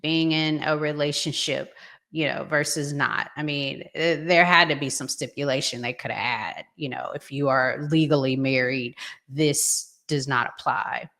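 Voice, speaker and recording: A middle-aged woman giving casual, unscripted commentary as a vlog host, thinking aloud in a halting, conversational way. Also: deep voice, steady tone, fairly bright tone.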